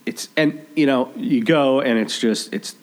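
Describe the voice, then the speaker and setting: A man's voice: mid-range voice, measured pace. A man hosting a local radio talk show, chatting casually with many fillers and restarts. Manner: rambling, lots of fillers and restarts. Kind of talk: casual chat.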